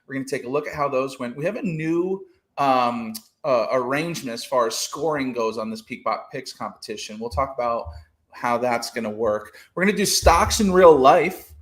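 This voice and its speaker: A man's voice: mid-range voice, lively intonation, normal speed, a bit bright. A man hosting a casual trading podcast over a video call, rambling with lots of fillers and restarts. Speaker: podcast host.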